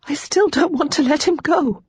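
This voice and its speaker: A woman narrator voicing Lara, a young widow who is ashamed, despairing and crying, in a husky voice that keeps dropping to a whisper. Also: medium pitch, moderate pace, darkish tone, no echo, pitched above the performer's own voice. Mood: despairing, tearful. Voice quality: husky, tearful, often whispering.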